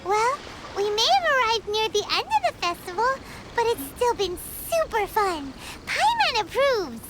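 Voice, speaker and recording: A woman's voice: a little slow, over loud music, exaggerated voice, low-quality recording. A woman voicing a tiny, bubbly, food-loving female fairy companion in a video game, speaking of herself in the third person. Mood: cheerful. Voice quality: high squeaky childlike voice, talks of herself in third person. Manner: bouncy, excitable, whiny.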